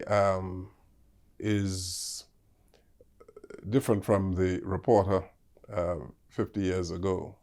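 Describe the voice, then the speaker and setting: An older Bahamian man speaks slowly, with a gravelly edge and lowish pitch. He answers interview questions in a hesitant way, full of ums and uhs. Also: darkish tone. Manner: hesitant, many fillers. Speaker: ambassador guest.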